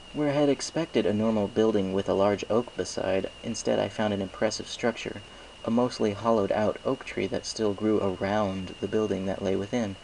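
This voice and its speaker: A man's voice: fairly low pitch, darkish tone, slight background noise, low-quality recording. A man reading a scripted first-person story aloud as an amateur narrator.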